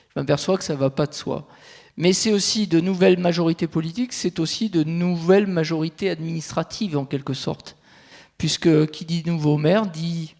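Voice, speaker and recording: Higher-pitched man presenting in an echoey hall. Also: vocal fry, darkish tone. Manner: explanatory, enumerating points step by step. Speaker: territorial consultant presenting at a conference. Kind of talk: conference presentation. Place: large reverberant conference venue. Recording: echoey hall with long reverb.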